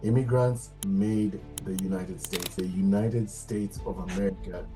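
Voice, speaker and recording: A man's voice: low-pitched, steady tone, measured pace, mellow, soft background music. A man from Nairobi with a Kenyan accent, answering interview questions as a guest in a reflective, earnest way. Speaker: interview guest, community leader.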